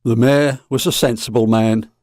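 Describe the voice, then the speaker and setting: A man's voice: mid-range voice, steady tone, moderate pace, a little mellow, no room sound, studio quality. A man narrating a scripted story for an audio drama.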